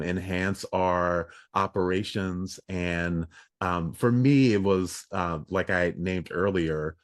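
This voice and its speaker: A man, a leadership coach, speaking in an earnest, measured way with frequent ums as a podcast guest. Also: low voice, no echo, clean studio sound. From United States. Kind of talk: casual interview talk.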